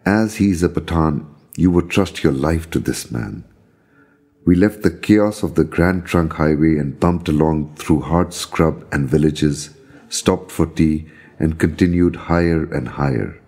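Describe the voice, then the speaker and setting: A man narrates his own story in a very low voice with a soft, dark tone. His delivery is measured and solemn, and there is a husky grain to it as he reads. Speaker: storyteller reading own account. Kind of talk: scripted narration of a visionary journey.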